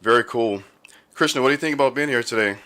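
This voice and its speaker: A man's voice: mid-range voice, fast talker, pushed and bright, clean tone. A man hosting a podcast in a casual, laid-back, informal interview style. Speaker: podcast host.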